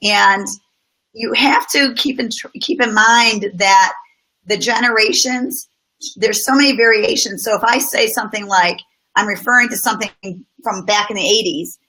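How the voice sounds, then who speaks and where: Bright-voiced middle-aged businesswoman. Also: mid-range voice, moderate pace, poor audio. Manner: thoughtful, explains with personal examples. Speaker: guest speaker on business. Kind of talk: casual conversational interview.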